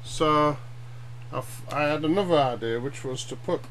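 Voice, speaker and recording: A middle-aged man giving an informal, halting hobby demonstration at home, often checking in with short tag questions. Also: a little high, a bit bright. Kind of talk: informal explainer vlog. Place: small cluttered room at home, indoors. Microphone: webcam at arm's length. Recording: noisy webcam audio with hum.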